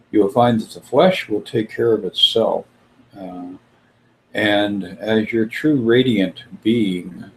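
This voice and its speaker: Slow, deep-voiced man musing on spirit. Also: slight background noise, poor audio. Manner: slow, musing, with many "uh" pauses. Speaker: spiritual discussion host. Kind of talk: reflective spiritual discussion.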